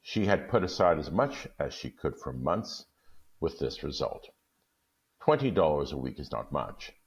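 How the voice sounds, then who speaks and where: A man reading a classic short story aloud in a steady, measured way. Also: very deep voice, muffled recording. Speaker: guest reader.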